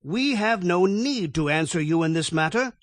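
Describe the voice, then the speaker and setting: Cast a faithful Hebrew captive in a scripture drama. His high voice is resolute and formal, standing firm in defiance. The sound is close and dry. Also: expressive, normal speed, dry recording. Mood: defiant, resolute.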